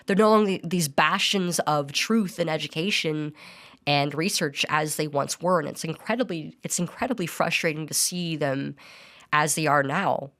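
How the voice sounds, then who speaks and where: A woman's voice: sits low, normal speed, fairly bright tone. A young adult woman telling her personal story as a podcast guest, earnest and often restarting her sentences. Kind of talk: personal testimony in a podcast interview.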